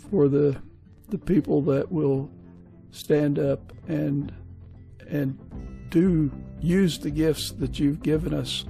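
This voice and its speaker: A man's voice: fairly high pitch, vocal fry, warm tone. A man speaking as the host of an interview podcast. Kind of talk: casual interview hosting.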